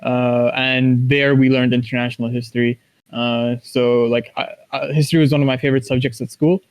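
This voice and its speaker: A man from Kuwait answers casually in an interview. His voice sits at a medium pitch, and he builds his thoughts haltingly, in short broken phrases. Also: level tone, clean tone. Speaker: interview guest, esports professional.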